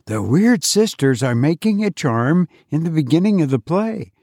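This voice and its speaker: A man voicing an eager schoolboy answering his teacher quickly. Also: on the high side, very animated, warm tone, no echo, studio quality, pitched above the performer's own voice. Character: eager bright schoolboy pupil.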